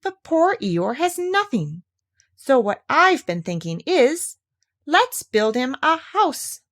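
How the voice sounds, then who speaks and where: A woman voicing a good-natured, simple-minded male toy bear in a storybook reading, talking slowly and earnestly. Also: sits high, big pitch swings, voice pushed up, heavily acted.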